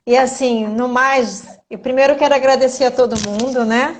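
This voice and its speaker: A woman from Brazil hosting a live talk for her students, speaking in a measured way as she sums up and thanks them. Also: high-pitched, fairly even intonation. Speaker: host, instructor. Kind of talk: live chat with audience.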